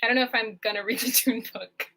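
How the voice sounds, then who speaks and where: A woman's voice: on the high side, fairly even intonation, fast, pushed and bright. A young woman guest in a casual livestream chat who laughs often and uses plenty of fillers. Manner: giggly, with frequent laughs and fillers.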